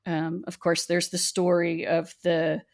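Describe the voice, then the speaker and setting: A woman's voice: fairly low pitch, completely flat delivery, a little slow, gravelly. A middle-aged woman from West Virginia with an Appalachian accent, telling stories in a chatty, laughing way. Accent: Appalachian American. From West Virginia, USA. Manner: chatty, laughing, acts out quoted voices. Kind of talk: casual storytelling chat.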